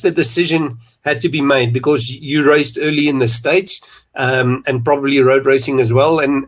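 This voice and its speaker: A South African man hosting a talk interview in a loose, rambling way, full of fillers and restarts. His voice sits a little high, and he keeps circling back as he tells his stories. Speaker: podcast host. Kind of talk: conversational interview.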